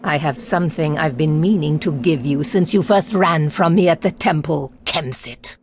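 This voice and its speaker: Low-voiced commanding warrior woman. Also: normal speed. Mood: scornful. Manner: firm, commanding. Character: resolute Egyptian warrior leader woman, commanding, vengeful. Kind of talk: scripted game cutscene dialogue.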